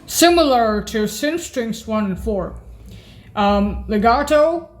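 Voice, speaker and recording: A woman giving an informal, halting demo of keyboard sounds, listing names one by one in a hesitant, deliberate way. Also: on the high side, lively intonation, faint music behind. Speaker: presenter demonstrating keyboard voice sounds.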